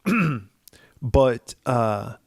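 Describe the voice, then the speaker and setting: A man in his forties, hoarse and raspy after being ill, co-hosting a podcast in casual chat, rambling with fillers and restarts. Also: mid-range voice, lively intonation, very slow, dry recording, clean studio sound. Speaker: podcast co-host.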